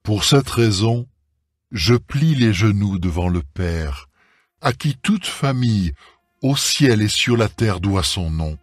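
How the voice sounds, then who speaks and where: A man reading scripture aloud as a solemn scripted dramatised Bible recording, with measured, deliberate phrasing. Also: lowish voice, lively intonation, no echo, studio quality. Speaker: scripture reader. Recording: music under the voice. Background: background music.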